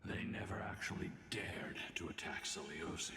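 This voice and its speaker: An actor playing a grave, weary man who recounts ancient history in slow, solemn, measured game dialogue. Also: low-pitched, a little hiss or hum. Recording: reverberant game audio over loud music.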